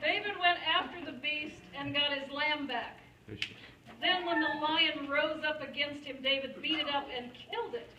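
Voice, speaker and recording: A woman's voice: high voice, fairly even intonation, moderate pace. A woman narrating a puppet show in a storytelling style. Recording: echoey room, distant mic, clear noise.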